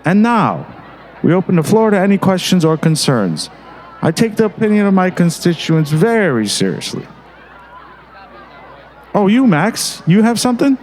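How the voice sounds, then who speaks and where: A man acting pompous mayor, high and swooping. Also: big pitch swings, normal speed, long pauses, a little mellow, a faint crowd, slight background noise. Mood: exasperated. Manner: pompous, formal, declamatory. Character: self-important small-town mayor chairing a meeting. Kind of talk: audio drama acting.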